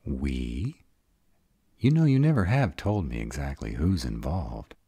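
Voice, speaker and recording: A man's voice: very deep voice, big pitch swings, measured pace, warm tone, breathy edge, dry recording, exaggerated voice. A man playing a sarcastic bad-boy vampire in an audio roleplay drama, drawling, guarded but devoted. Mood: hurt, guarded.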